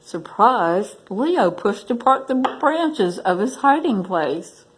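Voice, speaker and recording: A woman's voice: medium pitch, expressive, takes it slowly, breathy edge, poor audio. A woman reading a children's storybook aloud as a library story reader, expressively addressing young listeners.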